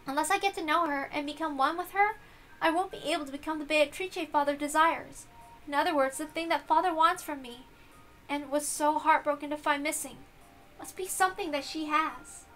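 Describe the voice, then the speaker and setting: A woman plays a newly born young witch from a visual novel, timid and earnest. The voice is very high, with polite, hesitant phrasing. Music plays lightly behind it. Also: brisk, a bit bright. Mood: wondering, earnest. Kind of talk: dramatic visual novel reading.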